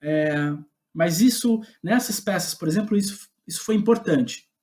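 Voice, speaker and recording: Brazilian man thinking aloud, haltingly. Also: high-pitched, warm tone. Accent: Brazilian Portuguese. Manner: halting, thinking aloud, often seeking agreement. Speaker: guest playwright. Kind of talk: reflective interview talk about own writing.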